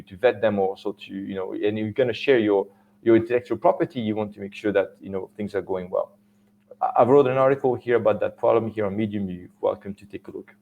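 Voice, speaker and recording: A middle-aged man with a Swiss French accent gives a technical talk at a conference. His low voice has a gravelly edge. He speaks haltingly and often restarts with fillers. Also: a little mellow, dull audio. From Switzerland.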